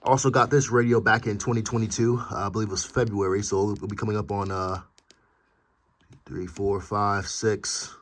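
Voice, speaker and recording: Casting: American man, lowish voice, halting casual vlogger, slightly noisy home recording. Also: level tone, fairly bright tone. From United States. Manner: halting, pausing mid-sentence, self-correcting. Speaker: hobbyist video host. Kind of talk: casual show-and-tell vlog. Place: indoor room at home. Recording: clearly noisy amateur home recording with clicks.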